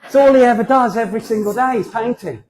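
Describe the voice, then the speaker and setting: A man's voice: extremely high pitch, fast talker, a little mellow, rough recording. A man in his forties with a southern English accent, giving a humorous, self-deprecating talk at a church and acting out voices in his stories. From southern England. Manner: chatty and self-deprecating, acts out voices in anecdotes. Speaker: guest speaker.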